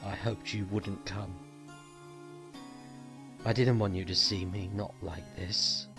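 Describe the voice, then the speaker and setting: An actor playing a proud, bitter young prosecutor, condescending and sardonic, in a dramatic fan audio drama. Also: male voice, sits low, fairly even intonation, takes it slowly, gravelly, music in the background, heavily acted. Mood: guarded.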